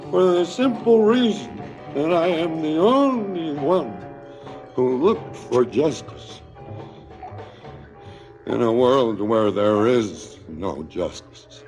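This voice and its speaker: An actor playing a drunken, sardonic crooked cop in a theatrical radio drama, with a halting drawl. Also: male voice, on the high side, lively intonation, music in the background. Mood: drunk, bitter. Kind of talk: 1970s radio drama acting.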